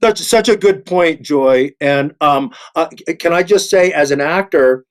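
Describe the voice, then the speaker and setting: A man of sixty speaking earnestly in a panel discussion, halting and repeating words for emphasis. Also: fairly high pitch. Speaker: actor on a panel.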